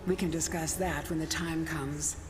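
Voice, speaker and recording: A woman's voice: deep voice, fairly even intonation, moderate pace, over music, close to an everyday voice. An actor, a woman, playing the weary, bitter ghost of an adventurer in a video game, resigned and wry. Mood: grim. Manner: resigned, wry, dramatic delivery. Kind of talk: video game character acting. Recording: long ghostly reverb over loud background noise.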